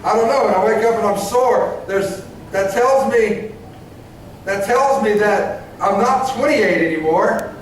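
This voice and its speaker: A man in his forties preaching a sermon as pastor, halting and full of restarts, often asking the congregation questions. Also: high voice, a little hiss or hum. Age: 40s. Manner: halting, many restarts and stammered repeats; conversational, asks the congregation questions. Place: church sanctuary. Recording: very echoey church, voice from far off.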